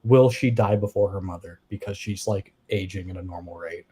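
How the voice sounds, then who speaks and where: A man chatting about anime with friends, talkative and laughing often, his voice trailing off softly. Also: deep voice, brisk, husky. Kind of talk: casual anime review chat.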